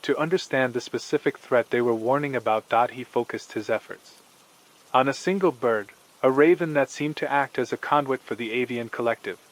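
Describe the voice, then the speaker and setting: A man narrating a scripted horror story in a measured, formal storytelling manner. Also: mid-range voice, rough recording.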